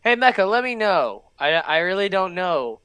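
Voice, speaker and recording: Bright, animated man hosting reaction videos. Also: sits high, moderate pace, smooth, dry recording. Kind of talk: casual commentary.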